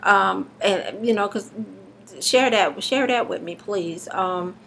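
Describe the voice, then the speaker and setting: A woman in her thirties, slightly hoarse and congested, talking haltingly in a casual video diary with many fillers and restarts, recorded on a webcam in her living room. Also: medium pitch, a bit bright, a little hiss or hum. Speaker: vlogger. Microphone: webcam at arm's length.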